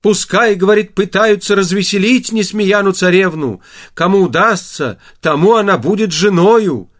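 A man's voice: high voice, normal speed, pitched above the performer's own voice, natural voice. A man voicing a fairy-tale tsar, a father making regal proclamations in a commanding manner. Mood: proclaiming. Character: sorrowful yet generous tsar father, commanding.

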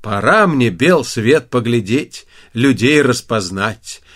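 A man's voice: mid-range voice, lively intonation, takes it slowly, a bit bright, voice pushed down. A man voicing a humble, devout farm labourer in a folk tale, with earnest, pious, reflective phrasing. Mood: hopeful.

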